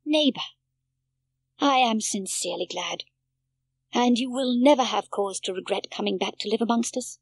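A woman's voice: on the high side, normal speed, pauses often, husky, no echo. A woman reading a kindly village pastor. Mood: warm. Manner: warm and sincere.

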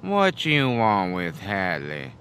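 Cagey small-town shopkeeper, audio drama. Slow, deadpan, sharp-edged voice with swooping pitch. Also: male voice, clean tone, exaggerated voice. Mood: guarded. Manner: terse, deadpan. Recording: faint outdoor ambience behind.

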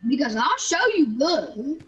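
A woman's voice: sits high, very animated, measured pace, lo-fi sound, slight background noise. A female player in casual game voice chat, giving defiant, childish comebacks.

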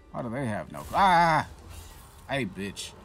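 A man giving casual, profanity-laced commentary while playing a shooter game, reacting loudly to what happens on screen. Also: medium pitch, sing-song, slow pace, faint music behind. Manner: swears freely, reacts loudly to in-game events. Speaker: gameplay commentator.

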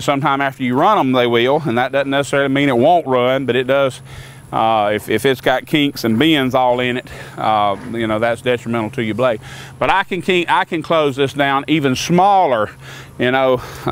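A man's voice: mid-range voice, fairly bright tone, clear, resonant tone. An older man on a lawn beside a sawmill, plainly explaining equipment in an unscripted, folksy way with many fillers and restarts.

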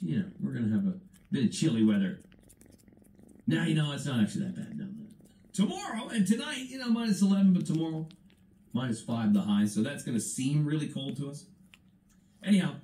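Canadian guy hosting country radio, chatty, mellow voice, playing off a radio in an echoey room. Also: mid-range voice, huge pitch swings, fairly quick. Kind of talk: chatty radio presenting and interview.

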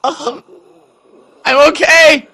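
A man acting a whiny, apologetic, panicky teenage boy in a dramatic video game, high, strained and creaky. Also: steady tone, extremely slow, pushed and bright, heavily acted, rough recording. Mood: pained, scared.

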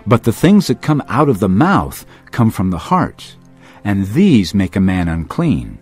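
Firm holy teacher, husky voice, lively pitch, emphatic delivery, audio drama. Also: male voice, faint music behind, exaggerated voice. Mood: earnest, teaching. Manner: emphatic, deliberate, declarative.

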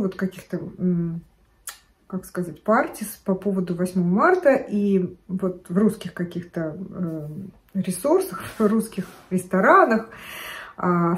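A middle-aged woman vlogging at home, chatting to camera in a chatty, digressive way and gesturing as she talks. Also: mid-range voice. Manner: chatty, digressive, gestures a lot while talking. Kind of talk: casual chat to camera. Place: living room at home, indoors.

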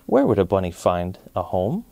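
An American man in his forties reading a storybook aloud to children, with clear enunciation and expressive phrasing. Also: deep voice, normal speed, dry recording. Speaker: children's story time host.

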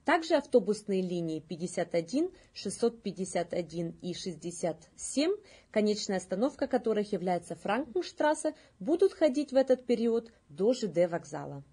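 A woman in her thirties reading scripted news as a presenter, with a slightly husky voice. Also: mid-range voice, very animated, normal speed, dark tone.

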